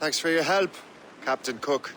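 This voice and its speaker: A man's voice: fairly high pitch, normal speed, sharp tone, slight background noise. A man playing a resolute, hard-edged seafaring captain and hunter in a video game cutscene.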